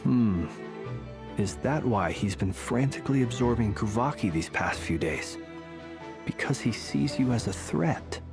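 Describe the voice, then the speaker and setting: A man voicing a calm young male adventurer in a video game, asking measured, thoughtful questions. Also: medium pitch, music in the background. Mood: curious.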